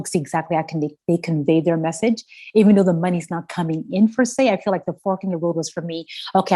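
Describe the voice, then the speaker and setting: A woman's voice: fairly low pitch, mellow. A woman entrepreneur answering podcast interview questions, earnest and passionate, with a strong voice. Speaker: fragrance founder, podcast guest.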